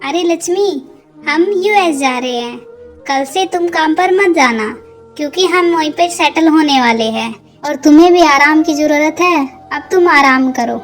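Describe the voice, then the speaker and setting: Cartoon madam played by a woman: well-off employer, matter-of-fact, brisk, high pitch, music underneath. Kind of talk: cartoon dialogue.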